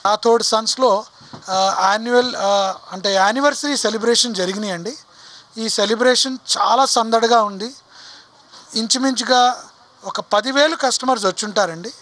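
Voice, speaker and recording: A man with an unusually high, sharp voice talks off the cuff about an event. His phrasing is a little hesitant. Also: normal speed, no echo. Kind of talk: spontaneous event talk.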